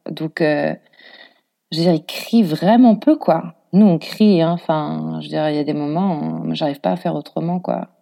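Low, mellow French woman, conversational. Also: lively intonation, moderate pace, gravelly. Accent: French from France. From France. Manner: informal, reflective, thinking aloud. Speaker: interview guest, expatriate mother; preschool worker. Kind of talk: casual conversational interview.

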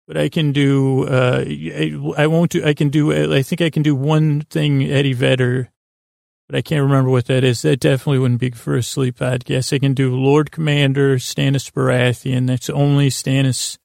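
A man with a creaky, gravelly voice hosting a sleep podcast in a meandering, halting monologue that keeps interrupting itself. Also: medium pitch, little pitch movement, darkish tone, no room sound, studio quality. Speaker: podcast host.

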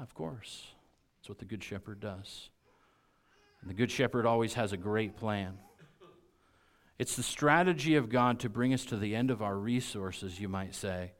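A man with an American accent preaching a sermon to a congregation, repeating key phrases for emphasis and moving between quiet and loud delivery. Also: lowish voice.

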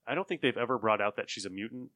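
A man's voice: fairly low pitch, very fast, bright, forceful tone, no echo. A man hosting a casual fan discussion, explaining things in an enthusiastic, conversational way. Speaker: podcast host. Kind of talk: casual discussion of a radio show episode.

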